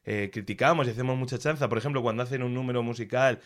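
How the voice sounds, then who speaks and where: A man from Castilla-La Mancha with a Castilian Spanish accent, reviewing culture in a casual, opinionated and expressive conversational way. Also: mid-range voice, racing. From Castilla-La Mancha, Spain. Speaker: culture commentator.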